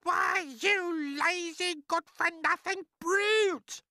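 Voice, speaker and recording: A very high, screeching storybook aunt. She is vain and cruel, snapping and scolding in drawn-out, swooping words. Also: big pitch swings, sharp tone, full character voice. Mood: furious. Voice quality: shrill, screechy.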